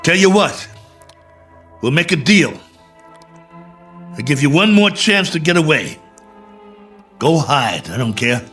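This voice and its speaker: An actor playing a worn-out, injured and lonely crash-landed space colonel in a radio drama, talking in halting, emphatic bursts. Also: male voice, on the high side, big pitch swings, fairly bright tone, faint music behind, heavily acted. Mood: wry, threatening. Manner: halting, repetitive, emphatic pleading and musing.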